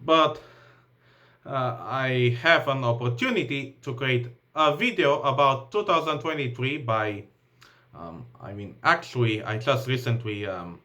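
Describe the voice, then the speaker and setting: A man's voice: medium pitch, a little slow, pushed and bright. A man with a Bulgarian accent, English not his first language, reading a list of events from a laptop with frequent ums and uhs. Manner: hesitant, many ums and uhs, restarts while reading. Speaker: vlogger.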